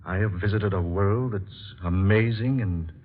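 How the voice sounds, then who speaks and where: A man's voice: fairly low pitch, a little slow, natural voice. A man acting an earnest, dignified scientist with formal, theatrical phrasing in an old-time radio drama. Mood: earnest, marvelling. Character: earnest, dignified male scientist doctor, hero in love. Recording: muffled, noisy old radio recording.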